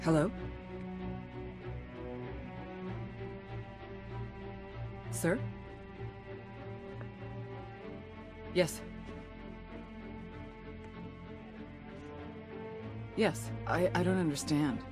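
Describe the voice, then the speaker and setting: An actress playing a blunt, bitter and defiant American intelligence officer in a video game, speaking with profane intensity. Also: female voice, on the low side, close to an everyday voice. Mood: stunned, pleading. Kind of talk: cinematic video game acting.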